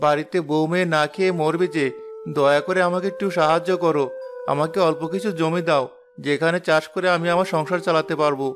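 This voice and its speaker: A man's voice: a little high, steady tone, brisk, lightly acted. A man voicing a humble, poor village father in a cartoon, plaintive and pleading, with music behind. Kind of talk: moral-story cartoon acting.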